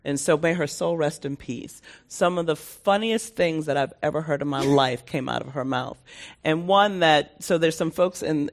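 A woman moderating a casual live interview before an audience, enthusiastic and given to repeating phrases for emphasis. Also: low-pitched.

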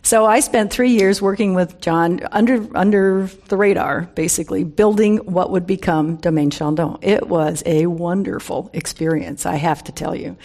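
An American woman in her seventies speaks in a slightly low voice. She tells stories informally to a live audience, conversational and humorous, stressing key words and slipping in wry asides. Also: normal speed, few pauses, a little mellow. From San Francisco Bay Area, USA. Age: 70s. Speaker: guest speaker, wine industry veteran.

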